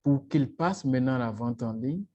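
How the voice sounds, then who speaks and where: A man speaking on a panel discussion in a measured, formal way. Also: mid-range voice, a little mellow, lo-fi sound. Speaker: panelist, startup advisor.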